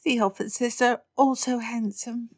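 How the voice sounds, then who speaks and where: Brief: a spoiled teen girl, high and wheedling, read by a woman; storybook voice. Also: steady tone, no echo, clean studio sound, natural voice. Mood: whiny pleading. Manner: high wheedling pleas, drawn-out begging. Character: whiny teenage girl, spoiled and pleading. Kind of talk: audiobook character reading.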